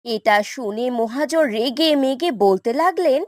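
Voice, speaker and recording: A woman's voice: high-pitched, animated, unhurried, dry recording, studio quality. A woman narrating a cartoon moral story in a scripted storybook style.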